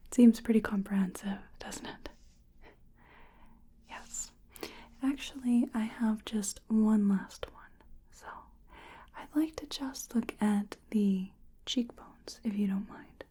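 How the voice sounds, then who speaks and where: A woman whispering gently and slowly in an ASMR roleplay, calmly listing facial measurements as she takes them. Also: medium pitch, slightly rough. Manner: slow, gentle, soft-spoken whispering. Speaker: ASMR artist.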